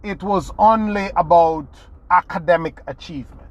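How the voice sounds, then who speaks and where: A man's voice: sits high, normal speed, highs cut off. A man from Jamaica, giving an earnest motivational talk as a podcast host, restarting phrases and leaning hard on key words. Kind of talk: motivational monologue.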